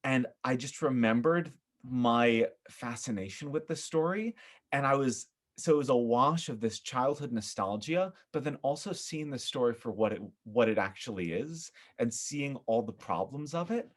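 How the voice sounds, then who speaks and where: A man with an American accent, from upstate New York, talking casually as a podcast guest in an animated, opinionated, emphatic storytelling way. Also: mid-range voice, normal speed. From upstate New York, United States.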